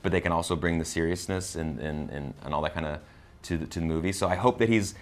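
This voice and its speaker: A man's voice: sits low, fast talker. A man co-hosting a film review in casual chat, enthusiastic and emphatic. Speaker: co-host of a film review show.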